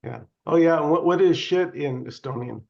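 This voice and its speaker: A man's voice: a little high, moderate pace, gravelly. Jokey man on dull, lo-fi audio. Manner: jokey, laughs often. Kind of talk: casual group video chat.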